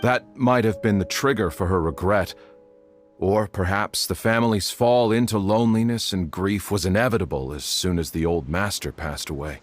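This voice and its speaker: An actor playing a solemn household doctor in a video game, speaking in a formal, measured way. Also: male voice, low voice, close to an everyday voice. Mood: solemn, regretful. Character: grave, measured male household doctor bearing sad news.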